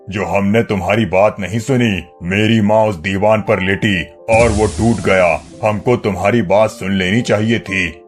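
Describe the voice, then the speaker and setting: An actor playing a haughty, suspicious village landlord with a gruff, imperious manner in a dramatized moral story. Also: male voice, on the low side, natural voice. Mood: apologetic.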